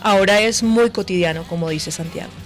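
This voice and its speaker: A woman's voice: mid-range voice, a little fast. A woman speaking as a guest voice coach in a conversational interview, plain-spoken and instructive. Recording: music behind the talk. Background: music.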